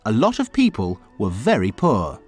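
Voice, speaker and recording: A man reading aloud who voices a cheerful, fun-loving grandmother in a storybook, brisk and cheery. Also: lowish voice, wildly swooping pitch, a little mellow, slightly rough, faint music behind, exaggerated voice.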